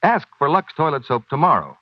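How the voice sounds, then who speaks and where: A man's voice: a little high, huge pitch swings, brisk, dry recording. A man announcing on 1940s radio in a polished, persuasive scripted sales style. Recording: dull, dry 1940s radio broadcast.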